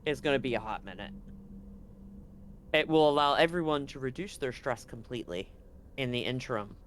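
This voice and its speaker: A man's voice: mid-range voice, a little fast, a bit bright, slight background noise. A man acting as game master, casually narrating a tabletop roleplaying game with bantering, rambling asides.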